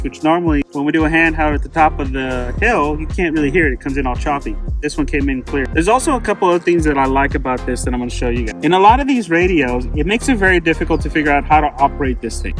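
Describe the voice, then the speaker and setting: A middle-aged man presents a casual gear review at a brisk clip. His voice sits a little high, and he explains things informally, with music playing behind him. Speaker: host of an off-road gear channel.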